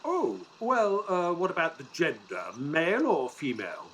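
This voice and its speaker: A man playing an earnest Victorian gentleman who narrates a detective audio drama. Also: on the high side, huge pitch swings, normal speed, slight background noise. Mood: baffled.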